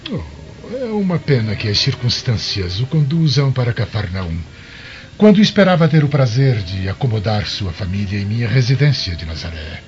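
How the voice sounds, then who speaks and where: Man playing a smooth-talking roman governor, brazilian radio drama, very lively pitch, formal and ingratiating, slightly dull recording. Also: moderate pace, slight background noise, lightly acted. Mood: courteous regret. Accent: Brazilian Portuguese. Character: courtly, unctuous Roman governor, mature man. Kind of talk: radio soap opera acting.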